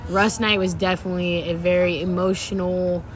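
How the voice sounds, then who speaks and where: A woman's voice: on the low side, little pitch movement, normal speed, soft background music. A young woman DJ from California, chatting casually in an interview with slangy, laid-back talk and easy laughter. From Sacramento, California. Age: young adult. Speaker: DJ guest.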